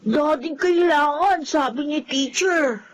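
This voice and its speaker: A woman's voice: high-pitched, rough recording. A woman voicing an innocent, wistful small schoolchild with a Filipino accent in an audio drama. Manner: childlike, halting, innocent. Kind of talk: emotional Filipino radio-style drama acting.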